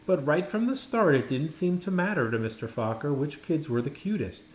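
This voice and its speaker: Guy reading a children's story, dramatic pauses, up and down pitch, roomy echo, bit of noise. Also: expressive, darkish tone. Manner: dramatic, with pauses and stressed words. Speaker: storybook reader. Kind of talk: expressive read-aloud of a children's story.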